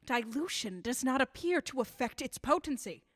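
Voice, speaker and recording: A woman acting in a radio drama as a bitter old scientist who invents deadly substances, with deliberate, formal, theatrical delivery. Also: high voice, animated, no room sound. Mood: grimly proud.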